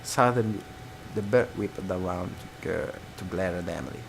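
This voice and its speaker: A man's voice: low-pitched, moderate pace, creaky, noisy background. A man reading a visual novel aloud as a let's player, narrating dramatically and dropping into casual asides. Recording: guitar music behind.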